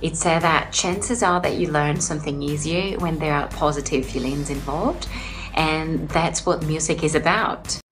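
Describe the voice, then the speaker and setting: A woman's voice: low-pitched, a little slow, over music. A young adult Brazilian woman and English teacher answering interview questions earnestly, with many "uh" fillers. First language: Portuguese. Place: home in Sydney.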